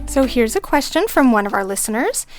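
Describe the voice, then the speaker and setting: High-voiced woman hosting a podcast. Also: sing-song, fairly quick, faint music behind. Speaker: podcast host and lactation consultant. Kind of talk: scripted show hosting. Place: studio.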